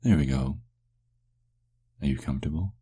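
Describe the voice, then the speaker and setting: A man playing a doting, hungry vampire lover in an intimate ASMR roleplay, murmuring soothingly in a gravelly, husky voice. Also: extremely low pitch, normal speed, mellow. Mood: gentle, teasing.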